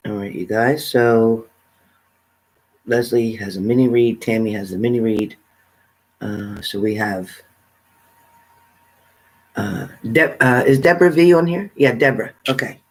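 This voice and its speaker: A man's voice: mid-range voice, measured pace, pauses often, low-quality recording. Man hosting a casual livestream. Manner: informal, addresses viewers by name. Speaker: livestream host. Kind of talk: casual live chat with viewers.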